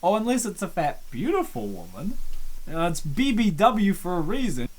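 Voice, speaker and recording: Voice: mid-range voice, big pitch swings, moderate pace, a little mellow. A co-host making wry, joking comments while playing through a visual novel. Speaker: playthrough co-host. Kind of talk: casual game commentary.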